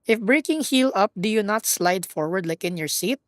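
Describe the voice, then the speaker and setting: Husky woman chatting on a live stream. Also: mid-range voice, expressive, normal speed, no echo. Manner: rambling, self-correcting, playful. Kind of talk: casual live-stream chat while gaming.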